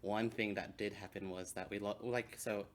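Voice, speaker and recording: A man's voice: on the low side, fairly even intonation, moderate pace. A man from Hong Kong, a native Cantonese speaker, telling stories in a casual podcast chat. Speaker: podcast co-host.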